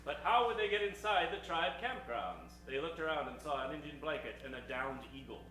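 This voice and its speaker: A man's voice: a little high, animated, fairly quick. A man narrating an improvised old-time radio Western comedy with dramatic storytelling delivery. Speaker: narrator of a stage radio show. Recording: very echoey stage, distant mic.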